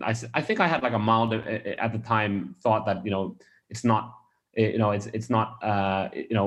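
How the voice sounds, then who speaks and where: Low-voiced man, halting founder. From Australia. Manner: rambling, many restarts and fillers. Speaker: startup founder. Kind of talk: interview answers.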